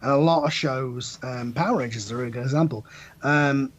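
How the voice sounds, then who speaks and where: A man's voice: medium pitch, brisk, dull audio. A man speaking as a podcast guest, giving analytical, wry opinions in casual discussion.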